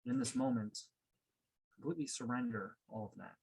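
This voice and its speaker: A man leading a guided meditation as a coach, speaking in a calm, unhurried way with pauses. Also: mid-range voice, vocal fry, slightly rough, rough recording.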